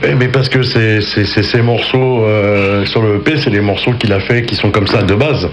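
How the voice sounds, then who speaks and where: A man speaks as the record's producer, brushing off blame with quick jokes and asides. A faint hiss sits under his voice. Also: fairly low pitch. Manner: defensive, joking, quick asides. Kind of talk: casual radio interview.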